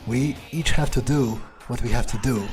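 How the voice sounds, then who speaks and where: Casting: male gamer, medium-pitched, improvising in character with halting delivery over faint game music. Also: darkish tone. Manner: hesitant, halting, profane. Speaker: gamer role-playing a vampire character. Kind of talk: in-character game playthrough, thinking aloud.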